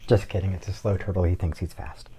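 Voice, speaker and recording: A man's voice: low-pitched, fairly even intonation, fast talker, mellow, slight background noise. A man giving a casual drawing tutorial as a guest artist, rambling with jokey asides as he works.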